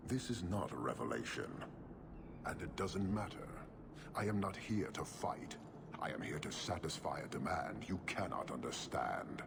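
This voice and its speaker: An actor playing a stern, contemptuous horned giant warlord in a video game, speaking in grave, clipped pronouncements. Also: male voice, rumbling low, very animated, normal speed, gravelly, background noise, heavily acted. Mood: cold disdain.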